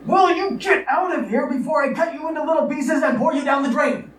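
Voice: high voice, brisk, lightly acted. An actor playing an exasperated, scheming young son with an urgent, sarcastic manner in a comic radio play. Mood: pleading, impatient. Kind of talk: old-fashioned comic radio play acting. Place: live radio play performance in a room. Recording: distant echoey live stage, noisy.

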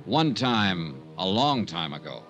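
A man's voice: low voice, sing-song, slow, muffled recording, music in the background, heavily acted. An actor playing a wry male insurance investigator in an old-time radio drama. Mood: dry narration. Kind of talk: 1950s radio drama acting and narration. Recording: vintage radio recording.

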